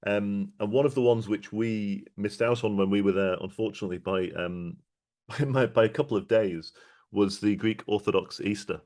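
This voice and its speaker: Deep-voiced male podcast host. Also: normal speed, a little mellow. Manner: friendly, rambling questions with fillers. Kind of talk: conversational podcast interview.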